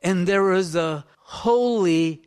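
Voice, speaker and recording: A man in his fifties, a minister preaching in a fervent, rambling way that swings between loud exclamations and hushed talk. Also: sits high, slow pace, clear, resonant tone. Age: 50s. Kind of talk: informal sermon.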